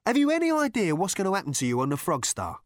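An emphatic male conspirator from a comic sci-fi audiobook, voiced by a male reader. He is grim and ominous, with a raised, very animated pitch, recorded dry. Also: fast, no echo. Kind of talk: audiobook character voice.

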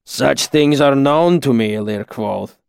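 A huge, shaggy master artificer speaks with stern gravity as a male narrator plays him. His phrasing is slow, formal and exacting, like a patient but demanding mentor in a fantasy audiobook. The sound is dry, with very little room. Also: medium pitch, gravelly, smooth, no room sound. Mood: stern reproach. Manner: slow, grave, formal, oddly ordered phrasing. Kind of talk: audiobook character dialogue.

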